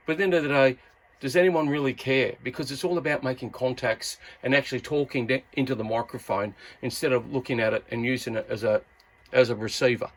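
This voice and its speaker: An Australian-accented man talks in a medium-pitched voice, like a hobbyist showing off his gear to fellow enthusiasts. His delivery is casual and blokey, full of colloquial turns, and stays laid-back as he reviews the equipment. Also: steady tone, quick pace. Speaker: YouTube radio hobbyist and reviewer. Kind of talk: casual hands-on gear review with CB radio chatter.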